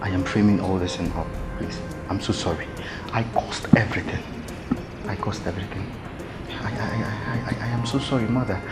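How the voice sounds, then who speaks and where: A man's voice: deep voice, little pitch movement, over loud music, natural voice. A man playing a stammering, guilty prince. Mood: remorseful. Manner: stammering, halting.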